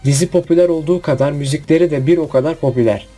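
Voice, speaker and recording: A man's voice: a little high, very fast, mellow. A man narrating a scripted countdown review. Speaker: video narrator. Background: background music.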